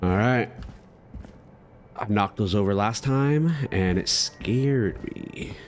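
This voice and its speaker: A man's voice: lowish voice, takes it slowly. A man giving casual let's play commentary, rambling in slang and talking to his viewers. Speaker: gaming video host.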